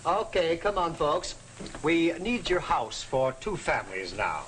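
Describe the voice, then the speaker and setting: An actor in a 1940s film playing a bland, unbending official with a bossy, falsely soothing way of talking. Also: male voice, a little high, animated, measured pace, a bit bright. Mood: breezy, dismissive. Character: bland, unbending male official evicting a family. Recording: noisy old 1940s film soundtrack.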